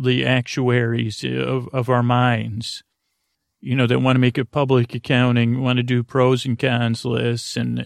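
American male podcast host for bedtime monologues: creaky, gravelly voice, digressive and hesitant, very dry close sound. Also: medium pitch, no room sound. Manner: meandering, hesitant, digressive, trailing off mid-thought. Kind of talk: rambling, conversational bedtime monologue.

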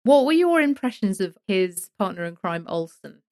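A woman, co-hosting a podcast and telling personal stories in a relaxed, confiding way. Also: medium pitch, moderate pace, no echo, clean studio sound. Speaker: podcast co-host. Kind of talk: casual book discussion chat.

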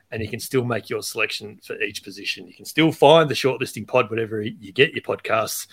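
Male podcast host, upbeat and chatty, mid-pitched voice, trails off quietly at the ends of phrases. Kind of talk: casual podcast chat with scripted reads.

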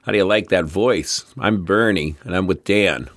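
A man's voice: sits low, moderate pace, over music. A man co-hosting a history podcast in casual chat, with a frog in his throat, answering with short, easygoing reactions. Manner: easygoing, brief reactions and chuckles. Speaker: podcast co-host.

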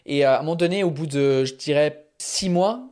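A man's voice: fairly high pitch, a little fast, darkish tone. A man in his thirties talks in a slightly raised register, speaking a language that is not his native one. He answers in a loose, casual way, full of hesitations and restarts, and slips in phrases of English. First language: not French. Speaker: stand-up comedian, guest. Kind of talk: relaxed interview answer.

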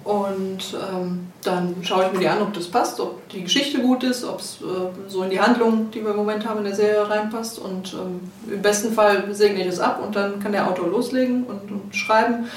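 A woman with a medium-pitched voice explains her work in a casual interview. She sits a little away from the microphone in an echoey room with faint noise. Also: fairly even intonation, normal speed, hardly any pauses, recorded from a distance. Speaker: editor of a pulp novel series, interviewee. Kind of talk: casual spoken interview answers. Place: indoors, shared office. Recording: echoey room, off-mic, noisy.

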